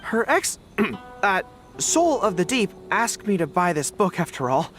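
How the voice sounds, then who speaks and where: A youthful, dutiful game soldier, speaks with swooping, expressive pitch. He is polite and hesitant, stumbling over his words. Game music plays behind him. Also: measured pace, heavily acted. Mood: awkward, polite. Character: earnest young dog-eared soldier, male, flustered but dutiful. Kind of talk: video game voice acting.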